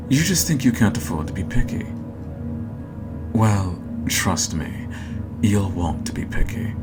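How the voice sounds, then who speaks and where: A man's voice: low voice, lightly acted. A man playing a flirty, playful god of love who poses as a matchmaker, in a teasing, intimate roleplay ASMR style. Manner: teasing, coaxing, conversational. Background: music.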